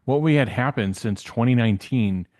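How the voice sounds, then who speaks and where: A man's voice: medium pitch, moderate pace, darkish tone, dry recording. A man giving casual, off-the-cuff commentary as an interview guest, often rephrasing as he goes.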